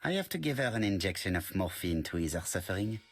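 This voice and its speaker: An actor playing a polite, precise middle-aged detective in a video game, speaking formally with a French accent. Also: male voice, low-pitched, sing-song, soft background music. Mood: observant. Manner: formal, measured, courteous.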